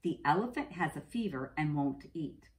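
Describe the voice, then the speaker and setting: An American woman, a middle-aged preschool teacher, reading a picture book aloud to young children and pausing to explain things simply. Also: low-pitched, lively intonation. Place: indoors, classroom.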